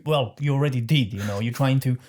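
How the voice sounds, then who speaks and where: A man's voice: medium pitch, fast, darkish tone. A man hosting a hospitality podcast, asking long rambling questions with restarts. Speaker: podcast host. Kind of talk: casual interview questions.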